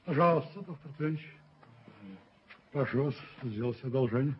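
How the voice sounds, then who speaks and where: An actor playing a dignified older businessman in a radio play, formal, measured, courteous but firm. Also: mid-range voice, lightly acted. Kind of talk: Soviet radio play acting. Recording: dull, hissy 1970s radio recording.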